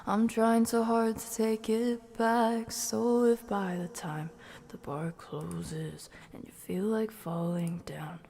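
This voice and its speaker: A woman singing softly as a streamer on an ASMR stream of song requests. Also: mid-range voice, flat delivery, slow pace, gravelly, slightly rough, big-room sound. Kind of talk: soft ASMR singing stream with casual chat.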